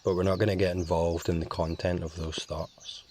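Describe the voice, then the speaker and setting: A man guiding a meditation in a calm, measured way with long pauses. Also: low voice, slight background noise. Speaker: meditation guide. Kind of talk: slow guided meditation.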